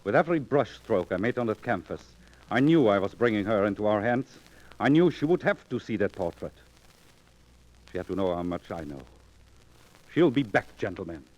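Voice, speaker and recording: An actor playing a grim, vengeful painter with intense, declamatory delivery in 1940s radio drama style. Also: male voice, on the low side, expressive, normal speed, a little mellow, lightly acted. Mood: grim resolve. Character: grim, vengeful male painter hunting an unseen woman. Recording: dull 1940s radio recording.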